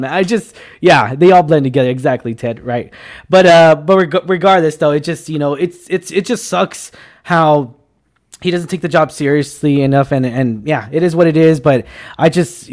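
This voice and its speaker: A man in his twenties hosting a casual chat show, rambling excitably, repeating himself and peppering his talk with slangy asides. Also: a little high. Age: 20s. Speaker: show host. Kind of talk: casual panel chat on film news.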